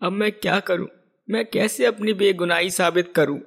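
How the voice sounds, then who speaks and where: A man's voice: high voice, fairly even intonation, moderate pace, creaky, breathy edge, full character voice. A man voicing a wronged, pious priest in a cartoon story, with a plaintive, dramatic delivery. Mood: despairing. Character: wronged pious Brahmin priest, pleading innocence. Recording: music behind the voice.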